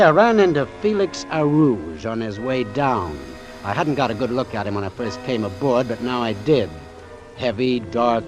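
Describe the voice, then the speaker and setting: An actor playing a brisk, authoritative ship's doctor in an old-time radio drama, matter-of-fact and clipped. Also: male voice, mid-range voice, lightly acted. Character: brisk, authoritative, dry-witted male ship's doctor, narrating.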